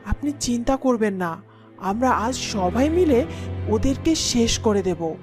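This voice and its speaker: A woman's voice: a little high, expressive, measured pace, music in the background. A woman voicing a loyal, menacing serpent attendant in a fairy-tale cartoon, deferential and dramatic.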